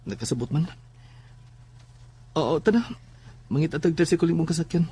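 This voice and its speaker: A man's voice: fairly high pitch, moderate pace, mellow, a little hiss or hum, close to an everyday voice. A man playing an insistent teenage schoolboy in a horror audio drama, pressing with questions. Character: insistent male classmate, persistent teenage schoolboy.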